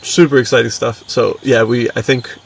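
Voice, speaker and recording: A man with a gravelly, fry-heavy voice co-hosts a podcast in casual, upbeat slang. He sounds a little far from the mic, with some background noise. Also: mid-range voice, fairly even intonation, moderate pace. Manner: laid-back slang, laughs easily. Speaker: podcast co-host, writer.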